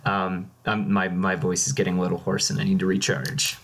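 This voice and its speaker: A man with a fairly low voice gives live commentary on a card game match. He talks casually, like a co-caster following a tournament game as it is played. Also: level tone, brisk, creaky, a little hiss or hum.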